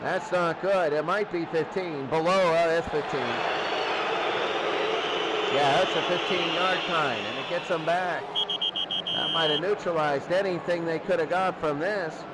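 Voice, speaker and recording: A man's voice: fairly high pitch, measured pace. A man doing play-by-play American football commentary in short, exclamatory present-tense bursts. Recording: noisy recording with loud crowd din. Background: loud crowd noise.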